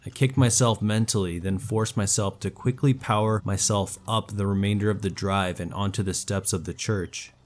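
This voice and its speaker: A man's voice: fairly low pitch, fairly even intonation, moderate pace, hardly any pauses. A man reading a scripted first-person horror story as its narrator.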